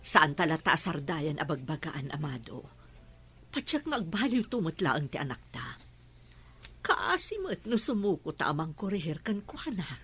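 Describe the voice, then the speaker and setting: A worried, protective mother in a radio drama. She is expressive and very animated, with a bright voice and a rasp to it. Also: low voice, moderate pace, exaggerated voice. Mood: subdued, hesitant. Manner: expressive, fretful. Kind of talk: Filipino radio drama acting.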